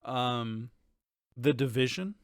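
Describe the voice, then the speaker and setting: A man's voice: medium pitch, expressive, takes it slowly, no echo, clean studio sound. A man co-hosting a podcast, chatting casually and bluntly in an informal way. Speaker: podcast co-host. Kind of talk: casual chat about games and shows.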